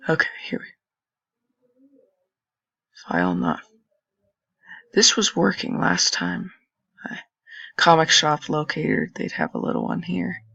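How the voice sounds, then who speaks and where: A young man with a raspy voice, casually commenting and thinking aloud while browsing old web pages on a screen recording. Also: a little high, big pitch swings, slow, pauses often, pushed and bright, no room sound. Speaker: channel host. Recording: dull lo-fi dry recording.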